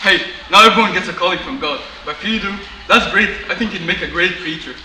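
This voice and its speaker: Man playing a sharp, forceful, grumbling dad. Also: high voice, fast talker. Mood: mildly amused. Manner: blunt, grumbling. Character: grumpy, loud, plain-living middle-aged father of a student. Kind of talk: comedy sketch acting. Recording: echoey room, distant mic, faint noise.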